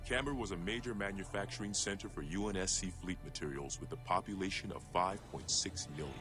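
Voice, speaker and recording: Very deep-voiced grave military officer, acted by a man. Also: lightly acted. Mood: grave, measured. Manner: measured, formal, grave. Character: stern male military intelligence officer reading a dossier. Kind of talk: scripted narration, formal briefing. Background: prominent music bed.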